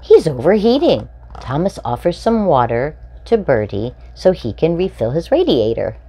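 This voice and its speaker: A woman in her forties reading a children's picture book aloud, expressively and with deliberate phrasing for young children. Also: low-pitched, very animated, dark tone, husky. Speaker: storytime host reading aloud. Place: small furnished room indoors.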